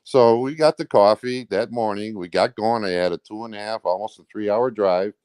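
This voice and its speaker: A man with a very low voice and a clear, smooth tone speaks casually as an interview guest. He recounts things in a blunt, rambling, everyday way, sometimes coarse in his wording. The sound is close and dry, with very little room in it. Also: normal speed, a little mellow, no room sound. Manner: rambling, blunt, colloquial with swearing. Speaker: truck driver. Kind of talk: casual recounting of personal events.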